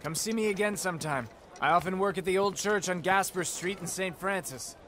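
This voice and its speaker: An actor playing a kindly, effusive friar who talks eagerly and fusses. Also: male voice, high voice, a little fast, background noise, natural voice. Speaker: monk. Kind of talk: video game cutscene acting.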